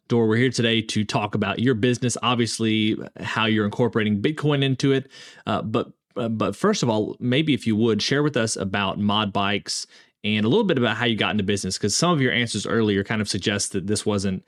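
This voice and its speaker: A man's voice: fairly low pitch, a little fast, no echo, studio quality. A man hosting an interview podcast, moving between conversational talk and sponsor reads. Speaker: podcast host interviewing a business owner.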